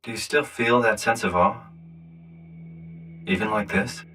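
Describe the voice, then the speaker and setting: A man's voice: lowish voice, steady tone, measured pace, bright, forceful tone, recorded from a distance, poor audio. An actor with a North American accent playing a weary, distressed man uprooted into a robot body, in a naturalistic, conversational video game style. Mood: despondent. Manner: conversational, swearing, brooding.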